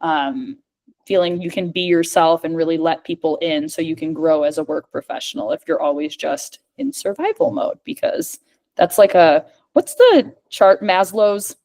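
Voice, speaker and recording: A woman's voice: low voice, expressive, moderate pace, a little mellow. A woman who is a speech-language pathologist and communication coach, answering questions in a casual chat over a video call, with a lot of "like" in her speech. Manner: chatty, fillers of "like".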